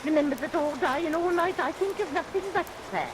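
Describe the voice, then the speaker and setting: A fussing, coy shopkeeper widow in an old radio melodrama. Her voice is highish and swoops expressively. The recording is hissy and noisy. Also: animated, brisk. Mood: gushing, coy. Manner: gushing, solicitous. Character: fussing, coquettish, comfortable widowed pie-shop woman. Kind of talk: vintage radio comic melodrama acting.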